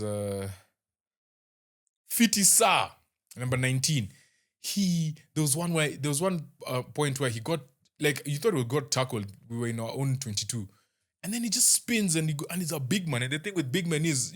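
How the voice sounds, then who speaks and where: A young man with a Kenyan accent, casually reviewing a rugby match as host, chatty and halting with lots of fillers and restarts. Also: mid-range voice, a bit bright.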